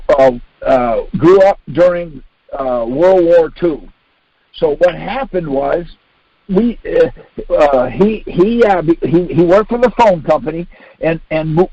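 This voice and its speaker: Older man, American accent, high voice, dry dull call audio, insistent, repetitive, informal instructor. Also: a little mellow, no room sound. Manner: insistent, repetitive, uses rhetorical questions. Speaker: speaker leading a conference call. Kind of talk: informal instructional talk with anecdotes and acted-out exchanges. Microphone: phone conference line.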